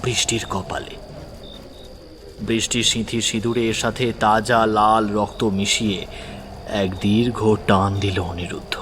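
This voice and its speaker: A man's voice: on the low side, steady tone, unhurried, slight background noise. A man narrating a horror story in a suspenseful, dramatic way. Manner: suspenseful, hushed at times.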